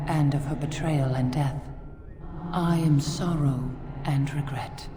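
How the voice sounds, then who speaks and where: Sorrowful maternal spirit for a fantasy game, mournful and unhurried, echoing through a noisy hall. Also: female voice, low voice. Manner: mournful, hushed riddling. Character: sorrowful spirit of a grieving mother.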